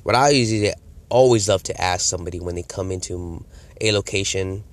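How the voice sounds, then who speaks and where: A man's voice: low-pitched, fairly even intonation, fairly quick. A man with a cold and a slightly nasal voice, coaching on sales in a casual talk, acting out customer and salesperson lines. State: congested from a cold. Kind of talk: casual instructional talk to camera.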